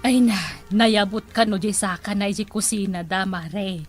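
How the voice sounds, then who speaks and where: A woman's voice: mid-range voice, normal speed, soft background music, lightly acted. A woman with an Ilocano accent playing an agreeable, gossipy village friend in a comedy drama.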